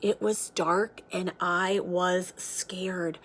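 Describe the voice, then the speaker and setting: A woman reading a children's picture book aloud like a teacher, with expressive, dramatic delivery. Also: fairly low pitch, extremely slow, a little hiss or hum. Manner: expressive, dramatic sound words and hushed moments.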